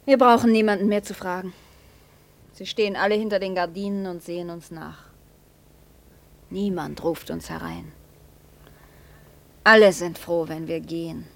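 An actress in a German radio play playing an anxious, tender mother of a homeless family, with a soft, plaintive manner. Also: on the low side, steady tone, normal speed, gravelly, long pauses. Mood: resigned.

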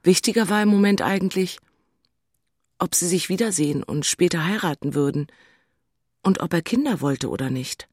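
A woman's voice: lowish voice, normal speed, pauses often, darkish tone, dry recording, clean studio sound. An actress playing an emotional, infatuated single city woman in an intimate audio drama. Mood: infatuated musing.

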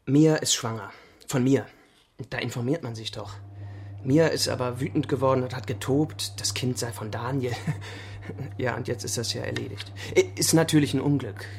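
An actor playing a soft, nervous young student in a radio drama, earnest and defensive, speaking haltingly with stammers. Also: male voice, mid-range voice, gravelly, a little hiss or hum, close to an everyday voice. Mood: earnest, agitated. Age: young adult.

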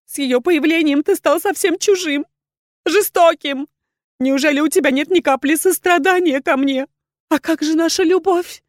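Женщина играет плачущую, отчаянно молящую виверну, высоко. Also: female voice, high-pitched, very animated, fairly quick, hardly any pauses, no echo, clean studio sound, voice pushed up. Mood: tearful pleading. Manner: pleading, breathless, sobbing exclamations. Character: desperate, tearful wyvern woman, a spurned mistress pleading.

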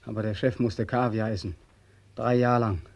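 An actor playing a bitter, desperate soldier back from war in a German radio drama, anguished, rhetorical and repetitive, moving between whispers and cries. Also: male voice, mid-range voice, steady tone, normal speed, creaky, highs cut off, lightly acted. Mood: bitter, sarcastic.

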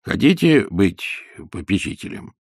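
A man voicing a smug, condescending middle-aged official who speaks softly in a lordly drawl. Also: lowish voice, very animated, unhurried, dry recording, clean studio sound. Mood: lazy drawl.

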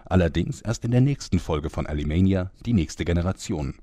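A man's voice: deep voice, lively intonation, a little fast, mellow, dry recording. A man playing the tongue-in-cheek announcer of a comic radio serial, narrating from a script. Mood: mock-serious.

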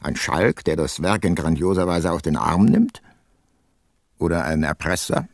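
Gravelly, low-voiced wry private detective. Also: male voice, unhurried, dry recording, exaggerated voice. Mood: wry, reflective. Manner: dry, laconic, ironic. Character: wry, unhurried middle-aged male private detective. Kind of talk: radio drama narration and dialogue.

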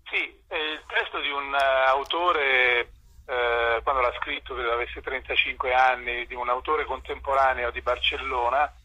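A man explaining in an interview, often pausing and repeating small words as he searches. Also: medium pitch, fairly even intonation, pushed and bright. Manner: hesitant, stutter-like repeats while searching for words. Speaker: translator and theatre director, interview guest. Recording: dull, lo-fi phone-in sound.

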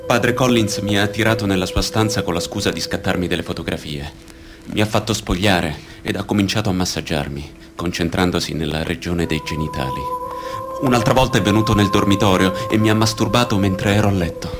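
A man's voice: sits low, brisk, loud music behind the voice, close to an everyday voice. A man reading scripted reports and testimony in a radio documentary play. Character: male victims recounting teenage abuse by priests.

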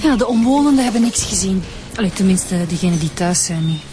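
An actress playing an efficient, matter-of-fact police inspector in a radio drama, with a Flemish Belgian accent. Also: female voice, medium pitch, animated, a little fast, music in the background, close to an everyday voice. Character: efficient, level-headed female police inspector. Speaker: actor in a radio serial. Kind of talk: naturalistic radio drama acting.

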